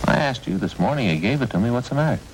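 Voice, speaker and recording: An actor playing an easygoing, chatty police detective in an old-time radio drama. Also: male voice, medium pitch, animated, rapid-fire, close to an everyday voice. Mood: helpful, matter-of-fact. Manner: casual, chatty. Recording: very noisy old radio recording.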